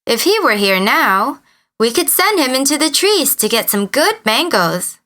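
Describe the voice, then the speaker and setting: A woman's voice: sits high, sing-song, a little slow, pitched above the performer's own voice, heavily acted. A woman voicing exasperated grown village uncles, male hunters who scold and call out, in a children's story. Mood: wry regret. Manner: scolding, loud calling.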